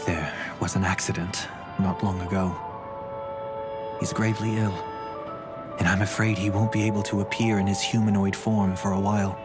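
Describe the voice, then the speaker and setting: A man voicing a calm, firm protective older brother. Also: low voice, normal speed, natural voice. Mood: calm resolve. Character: composed older brother, protective family leader. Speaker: game character. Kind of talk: voiced game cutscene dialogue. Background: game music.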